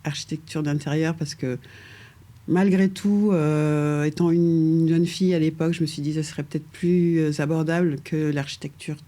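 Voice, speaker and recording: A middle-aged woman with a mellow, darker tone speaks in an unhurried, conversational way. She pauses often on fillers and restarts her sentences while she searches for words. She laughs now and then as she explains her path. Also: low-pitched, fairly even intonation. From France, raised in Paris from age two. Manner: hesitant, many fillers and restarts, laughs lightly. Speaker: guest architect. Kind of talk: casual conversational interview.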